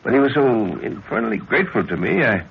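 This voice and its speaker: An actor playing a grave, fatherly older professor and explorer, telling his tale in a measured, earnest way in a 1930s radio drama. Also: medium pitch, very animated, vocal fry. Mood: reminiscing. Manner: measured, earnest, formal storytelling. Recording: muffled, hissy 1930s radio recording.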